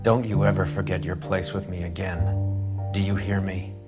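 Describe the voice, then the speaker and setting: A man's voice: deep voice, little pitch movement, moderate pace, music in the background, natural voice. An American man playing a brash, sneering, swaggering 1940s detective in a video game, with a cocky, needling manner. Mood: menacing. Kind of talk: scripted game acting.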